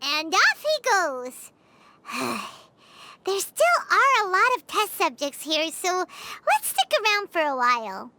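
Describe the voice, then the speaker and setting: Squeaky, tiny fairy companion. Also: female voice, big pitch swings, slow pace, a little hiss or hum, exaggerated voice. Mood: easygoing. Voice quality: squeaky, childlike. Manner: speaks of herself in the third person, perky. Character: Paimon, tiny chirpy childlike fairy companion, female. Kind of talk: video game voice acting. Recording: loud game music behind.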